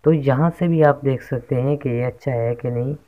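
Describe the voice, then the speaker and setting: A man's voice: medium pitch, normal speed. A man narrating a casual how-to tech tutorial as its host, on a dull lo-fi recording. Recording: dull lo-fi microphone sound.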